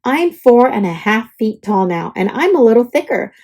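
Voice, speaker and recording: A woman's voice: fairly high pitch, sing-song, normal speed, a little mellow. A woman voicing a perky, dramatic young oak tree telling its storybook diary, with theatrical exclamations. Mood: proud, delighted. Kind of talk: storybook diary read-aloud.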